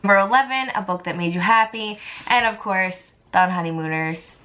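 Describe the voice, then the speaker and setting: An enthusiastic woman talks casually to her viewers in the style of a book vlogger. Her delivery is chatty and informal, with an average pitch for a woman. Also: brisk, a bit bright.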